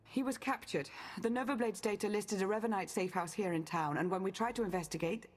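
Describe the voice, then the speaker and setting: An actress voicing a composed, analytical woman in a video game, with a measured, precise way of talking. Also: medium pitch, faint music behind, lightly acted.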